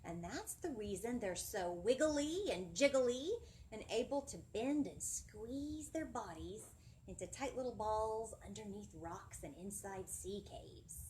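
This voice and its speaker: A woman in her thirties presenting a children's storytime, talking in an animated, singsong way and putting questions to young listeners, recorded indoors on a camera mic at some distance. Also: medium pitch, measured pace, a little hiss or hum. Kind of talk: children's storytime teaching, addressing young viewers. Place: indoor library children's area, seated.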